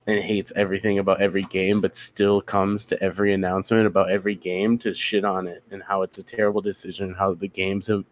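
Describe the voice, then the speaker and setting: A young man with a lowish voice co-hosts a casual podcast chat. He rambles through stories in a self-deprecating way and keeps repeating "yeah, yeah.". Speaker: podcast co-host.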